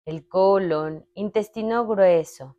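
A woman leading a guided meditation in a calm, unhurried way, speaking in short phrases with long pauses. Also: medium pitch. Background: meditation music with sustained tones.

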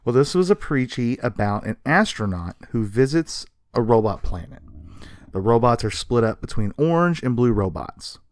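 A man's voice: medium pitch, lively intonation, normal speed, dry recording, clean studio sound. A man co-hosting a podcast, telling history in a casual, emphatic way with a sarcastic streak and some swearing. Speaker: podcast co-host. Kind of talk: casual storytelling about comics history.

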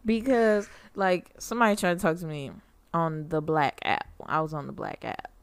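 Woman host, bit low voice, animated chatty storyteller, laughs a lot. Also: moderate pace, clear, resonant tone, no echo. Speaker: podcast host. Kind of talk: casual chat.

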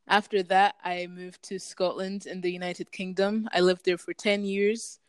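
Young woman, german was her first language, lowish smooth voice, pretty flat, calm. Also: a little slow, dry recording. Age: young adult. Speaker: podcast guest, university student. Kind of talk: casual interview answers.

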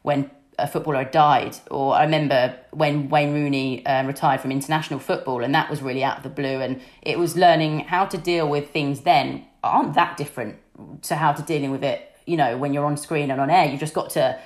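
Young woman, deep husky low voice, chatty and candid interview guest, lots of fillers. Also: fairly even intonation, a little fast. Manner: chatty and candid, many fillers like "you know". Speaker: sports news presenter, podcast guest. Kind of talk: casual interview chat.

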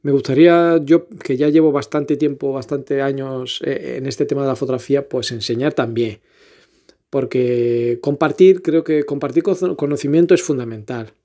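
A man's voice: mid-range voice, warm tone. A man speaking Spanish, hosting a casual solo podcast in an earnest, rambling way full of restarts. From Portugal. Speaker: podcast host, photographer.

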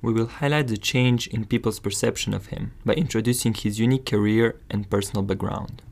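A man's voice: on the low side, brisk, gravelly, a little mellow. A man co-hosting a podcast, reading scripted narration. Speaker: podcast co-host.

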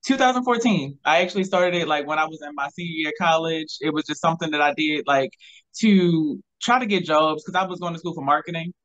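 A woman's voice: low voice, a little fast, a bit bright, dull audio. Easygoing Baltimore-accented woman. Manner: easygoing, laughs often. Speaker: guest, content creator. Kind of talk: casual interview chat.

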